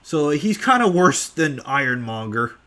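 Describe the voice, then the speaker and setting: Slow, lively-pitched young man rambling. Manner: rambling with many fillers, offhand. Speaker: creator answering fan questions. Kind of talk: casual live Q&A.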